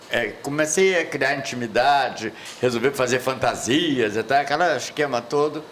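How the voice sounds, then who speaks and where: A man's voice: mid-range voice, fairly bright tone, slight background noise. Older man reminiscing at a panel. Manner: reminiscing, hesitant, searching for words. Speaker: audience member asking a question. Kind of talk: rambling spontaneous question at a panel. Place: lecture hall at a public event.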